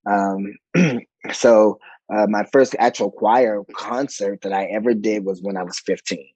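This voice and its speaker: A man's voice: lowish voice, fairly even intonation, measured pace, darkish tone, husky, no room sound, muffled recording. A man in his forties from Monterey, California, a choir director talking as a podcast guest, playful and laughing often between ums. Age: 40s. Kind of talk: casual interview chat.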